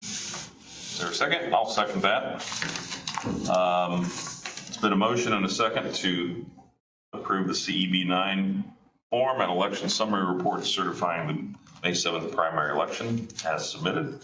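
A middle-aged man chairing an election board meeting in a measured, procedural way, recorded from afar in a county meeting room. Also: sits low. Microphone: far room camera. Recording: echoey room, distant mic, noisy.

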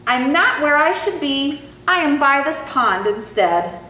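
A woman reads as a little lost storybook turtle with a high, swooping voice. He is plaintive and polite, yet insistent, in a roomy recording with faint noise. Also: sing-song, measured pace, sharp tone, recorded from a distance, exaggerated voice. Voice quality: tiny voice. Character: lost, tearful little baby sea turtle, male. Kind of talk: expressive children's storybook character reading.

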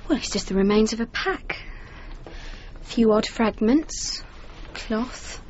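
Earnest young Englishwoman, high-voiced. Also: slow, a little mellow. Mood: dismayed, regretful. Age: young adult. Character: earnest young woman, wartime nurse. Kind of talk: radio drama dialogue. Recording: slightly muffled radio recording, background noise.

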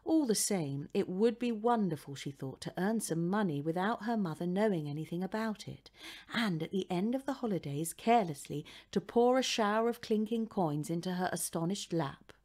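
A woman's voice: medium pitch, lively intonation, normal speed, darkish tone. A woman reading a children's story as scripted audiobook narration.

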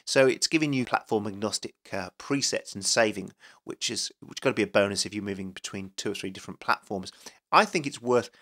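A man casually talking through a screencast product demo as a reviewer, informal and unhurried in his explaining. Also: fairly low pitch, a bit bright. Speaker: audio software reviewer.

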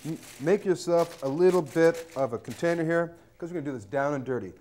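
A man's voice: on the high side, brisk, a little mellow, a little hiss or hum. A man in his thirties hosting a cooking show in a studio kitchen, cheeky and joking as he talks to camera. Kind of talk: jokey cooking demonstration.

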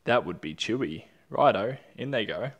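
A man acts out a clever storybook dingo, cocky and gleeful. The voice is fairly low but very lively, boastful and slipping into sing-song chants. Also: moderate pace, dull audio.

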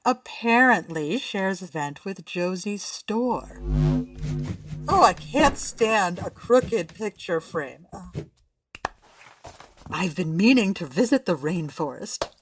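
Fussy evasive woman, animated, unhurried, feigned innocence, audio drama. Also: mid-range voice, music in the background.

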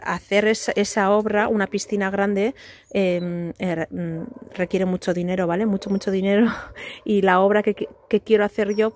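A woman, chatting casually as she gives a house tour on a phone held at arm's length on an outdoor terrace. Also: mid-range voice. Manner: chatty, animated, cheering on her son. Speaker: family vlogger. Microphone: handheld phone at arm's length.

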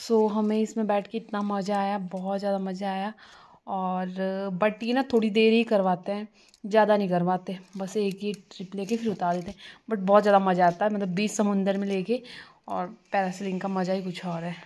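A woman's voice: medium pitch, flat delivery, fairly quick. A young woman chatting to her viewers as a travel vlogger, filming herself on a phone at arm's length in a hotel room and on a beach. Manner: chatty, addresses viewers as guys, mixes English words. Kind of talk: casual vlog narration. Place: hotel room, then outdoors on a beach and boat. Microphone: phone held at arm's length. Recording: loud wind and surf noise. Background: waves, boats and water vehicles.

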